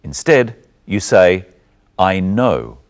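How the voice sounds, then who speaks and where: A man presenting a scripted English lesson in a deliberate, instructional manner. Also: deep voice, big pitch swings. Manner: didactic, deliberate explaining.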